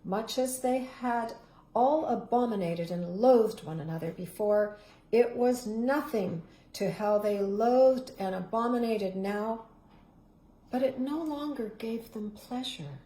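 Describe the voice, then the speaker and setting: Expressive woman reading a storybook aloud. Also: a little high, slow. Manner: expressive, dramatic rises and hushed, whispered endings. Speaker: storybook reader. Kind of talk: reading a picture book aloud. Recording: roomy echo.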